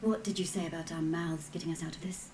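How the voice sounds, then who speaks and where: A woman's voice: deep voice, fairly even intonation, quick pace, background noise. Terse, guarded fantasy fighter woman. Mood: defensive. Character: brisk, defensive female fighter in a fantasy party. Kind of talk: dramatic video game acting.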